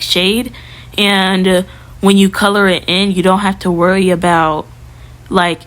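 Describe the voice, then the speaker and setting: A young woman giving a casual, chatty how-to tutorial as a video creator, talking informally with lots of fillers. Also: lowish voice. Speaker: YouTube creator.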